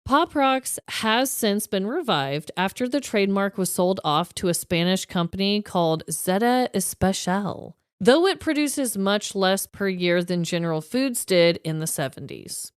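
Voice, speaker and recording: A woman co-hosting a podcast, telling stories from her notes in an informal, animated, emphatic way. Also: mid-range voice, a little slow, hardly any pauses, clear, resonant tone, no echo, clean studio sound. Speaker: podcast co-host. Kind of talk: chatty storytelling, reading quotes from notes.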